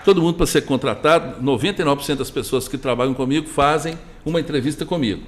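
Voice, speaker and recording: A man's voice: on the high side, fast. A middle-aged man with a Brazilian Portuguese accent, a business owner chatting as a guest and telling rambling anecdotes with many restarts. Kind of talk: casual conversation, storytelling.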